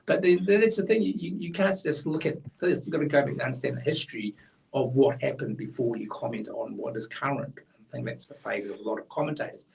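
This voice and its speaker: A man's voice: mid-range voice, quick pace, vocal fry, distant mic, low-quality recording. A man, blunt and opinionated, explaining a tax rule in casual chat as co-host, a little away from the mic.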